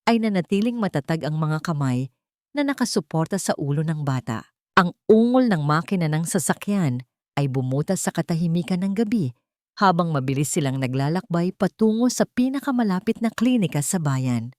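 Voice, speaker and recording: A woman's voice: fairly low pitch, expressive, moderate pace, darkish tone, no room sound, clean studio sound. A woman reading dramatic scripted narration as an audiobook narrator.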